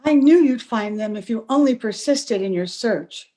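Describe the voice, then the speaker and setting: Woman acting a mellow, sweet bride. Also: fairly high pitch, expressive, moderate pace, close to an everyday voice. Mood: pleased, grateful. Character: cheerful, grateful young bride. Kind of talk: old-time radio drama acting.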